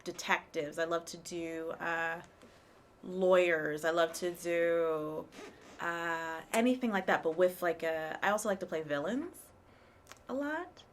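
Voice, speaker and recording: A woman co-hosting a live show, talking in a chatty, expressive way. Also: on the low side, slight background noise. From rural area. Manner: chatty, expressive, quick to laugh. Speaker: co-host and actor. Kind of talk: casual live show chat.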